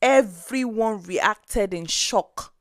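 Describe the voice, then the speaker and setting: A woman narrating a scripted story in an expressive, dramatic way. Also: medium pitch, very slow, no echo, clean studio sound.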